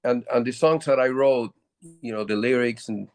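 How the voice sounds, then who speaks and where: An older man from Puerto Rico with a low voice speaks in a relaxed interview. He circles back and restarts his sentences often as he recalls old stories. The sound is dry and close. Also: dry recording. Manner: halting, many restarts and fillers. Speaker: guest musician. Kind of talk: casual interview storytelling.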